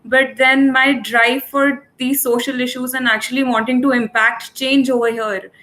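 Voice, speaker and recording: A woman's voice: high voice, level tone, normal speed, sharp tone, rough recording. A woman in her twenties, an intern, introducing herself politely and earnestly before asking a question. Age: 20s.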